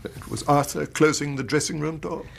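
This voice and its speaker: A man's voice: on the high side, normal speed, creaky, a little hiss or hum. An actor playing a distraught middle-aged Victorian banker and father in a radio drama, formal and agitated, with an English accent. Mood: troubled.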